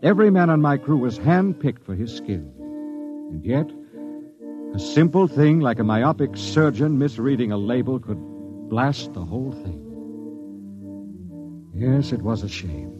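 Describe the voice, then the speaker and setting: A man's voice: medium pitch, expressive, a little slow, pauses often, close to an everyday voice. Casting: male actor for composed, commanding space captain, clipped and grave, mid-century radio play sound, muffled. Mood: grave, recounting. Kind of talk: mid-century radio drama acting and first-person narration. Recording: dull vintage radio recording with music behind.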